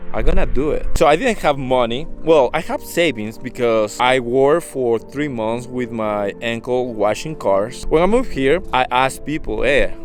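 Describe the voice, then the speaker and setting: Low-voiced Ecuadorian man over music. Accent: Ecuadorian Spanish. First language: Spanish. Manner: earnest, candid, loosely phrased non-native English. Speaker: vlogger. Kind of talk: casual personal storytelling to camera. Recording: music under the voice.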